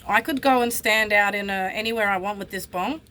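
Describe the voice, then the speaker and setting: A woman's voice: medium pitch, a little fast, sharp tone. A woman, talking bluntly and with frustration in a personal vlog. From Australia. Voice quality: husky. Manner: blunt, frustrated, swears freely. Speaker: vlogger.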